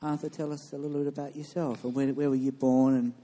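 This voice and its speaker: A man's voice: mid-range voice, level tone, fast talker, warm tone, a little hiss or hum. A man hosting a church interview on stage, encouraging and reassuring his guests.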